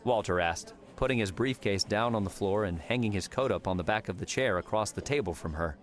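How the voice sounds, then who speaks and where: Very deep-voiced male audiobook narrator. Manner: measured storytelling. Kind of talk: scripted fiction narration.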